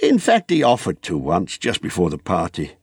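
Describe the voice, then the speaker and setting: A man's voice: sits low, wildly swooping pitch, normal speed, breathy edge, no room sound, clean studio sound, exaggerated voice. A man voicing an old hobbit in a storybook reading, fretful, wistful and stubbornly possessive, with an emphatic, rambling, hesitant manner.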